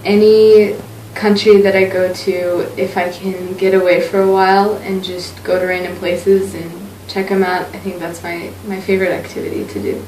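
A woman's voice: mid-range voice, flat delivery, normal speed, hardly any pauses, a little mellow. A young woman university student giving a casual interview testimony in a small office. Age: young adult. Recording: roomy, distant mic, faint room noise.

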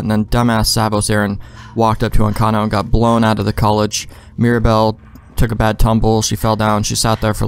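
A man doing casual gameplay commentary, thinking aloud in informal, mildly profane language. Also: on the low side. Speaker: let's play host.

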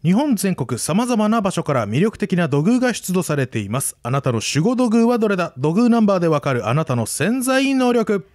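A man's voice: sits high, big pitch swings, fairly quick, hardly any pauses, darkish tone, dry recording, clean studio sound. A man co-hosting a radio show in a casual, conversational style. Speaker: radio co-host.

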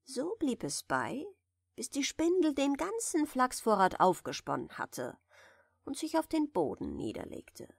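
A woman reading a fairy tale softly and soothingly as a bedtime audiobook narrator, in a measured way. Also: high voice, huge pitch swings, creaky, husky, dry recording, studio quality. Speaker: audiobook reader of fairy tales.

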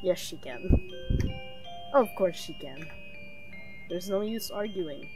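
A woman's voice: lowish voice, takes it slowly, darkish tone. A woman giving casual, wry and teasing commentary as she streams a game playthrough. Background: game music.

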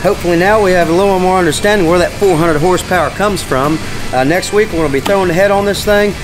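Man, middle-aged, American accent, higher-pitched, plain-spoken, step-by-step workshop tutorial, music in background. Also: quick pace, rough recording. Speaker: diesel mechanic presenting a tutorial. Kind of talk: step-by-step how-to explanation. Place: large indoor heavy equipment workshop.

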